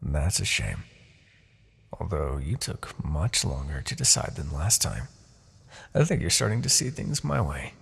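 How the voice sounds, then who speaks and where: A man playing a proud, vengeful demon king in a fantasy roleplay, speaking with a gravelly, husky voice and a theatrical, deliberate, imperious manner. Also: very deep voice, sing-song, fairly bright tone, heavily acted. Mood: cool disappointment. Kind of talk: fantasy ASMR roleplay monologue.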